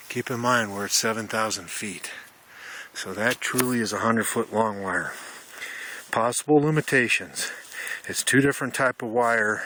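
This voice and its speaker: A man's voice: fairly low pitch, bright, forceful tone. A man talking casually and folksily in a rambling explanation, recorded outdoors on a handheld camera as he shows his radio hobby setup. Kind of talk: casual handheld video tour. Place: outdoors in wooded mountain country. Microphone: handheld camera microphone. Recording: noisy outdoor camera audio.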